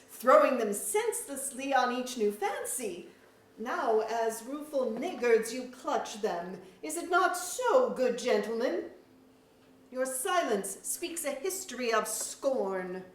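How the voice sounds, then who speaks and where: Stage chamberlain played by a woman, loyal and scolding, slow rhetorical delivery with sweeping pitch, distant in an auditorium. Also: big pitch swings, heavily acted. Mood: wry, mocking. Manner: rhetorical, emphatic, archaic formal diction. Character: proud, witty Lord Chamberlain of a fantasy court, loyal, scolding. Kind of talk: staged reading of mock-Shakespearean verse drama. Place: museum auditorium. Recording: echoey auditorium, distant mic.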